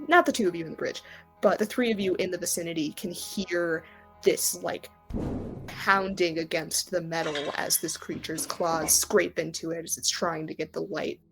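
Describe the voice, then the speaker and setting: A woman's voice: fairly low pitch, low-quality recording. A woman running a tabletop roleplaying game, improvising narration in a conversational, slightly hesitant manner, feeling her way through the scene. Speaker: game master.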